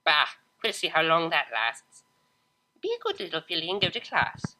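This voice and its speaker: Casting: woman as a bossy older storybook mare, condescending and cutting, bright edge, lively swings of pitch. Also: moderate pace, a little hiss or hum, full character voice. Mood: cold disappointment. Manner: scornful, stern, condescending. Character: domineering, disapproving older pony mare, overbearing mother.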